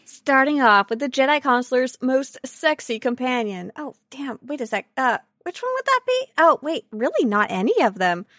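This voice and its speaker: A woman co-hosting a podcast, chatting casually about game characters in a silly, animated, teasing way. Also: high-pitched, normal speed, few pauses, no room sound, clean studio sound. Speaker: podcast co-host.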